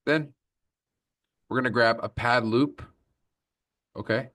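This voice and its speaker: A man's voice: medium pitch, steady tone, takes it slowly, a bit bright, recorded from a distance. A man hosting a music production tutorial, explaining step by step and often checking in as he goes. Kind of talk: instructional tutorial narration.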